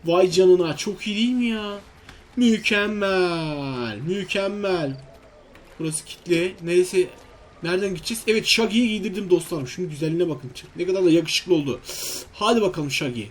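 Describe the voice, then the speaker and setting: A man's voice: high-pitched, animated, moderate pace, a little hiss or hum. A man giving casual, exclamatory let's-play commentary, talking to himself and his viewers. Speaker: gaming video host.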